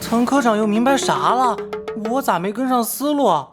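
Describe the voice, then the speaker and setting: Actress as an eager rookie investigator, highish voice, bouncy intonation, playful, impatient, colloquial, animated crime drama. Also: female voice, normal speed, over music, lightly acted. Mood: curious, impatient. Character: cheeky, eager, impatient junior police investigator.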